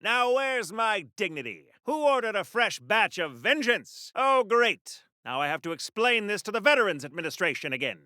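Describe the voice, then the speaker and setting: An actor playing a cocky, melodramatic patriotic superhero from the 1940s, theatrical and corny like an old radio serial, for a video game. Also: male voice, sits high, big pitch swings, normal speed, hardly any pauses, sharp tone, no room sound, heavily acted. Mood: cocky, wisecracking. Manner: theatrical, declamatory, corny wisecracking.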